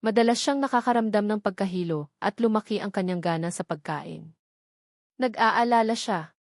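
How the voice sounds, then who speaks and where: A woman's voice: mid-range voice, normal speed, a little mellow, dry recording, studio quality. A woman reading a scripted romance story as a dramatic narrator.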